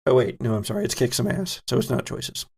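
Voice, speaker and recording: A man's voice: medium pitch, big pitch swings, fast talker, darkish tone, slightly rough. A man narrating a tabletop horror game as game master, describing scenes vividly and addressing the players directly.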